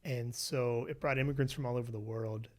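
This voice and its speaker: Relaxed man telling his story. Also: mid-range voice, fairly quick. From Western Pennsylvania, USA. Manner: relaxed storytelling with frequent "you know" fillers. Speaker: guest, researcher. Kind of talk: conversational interview.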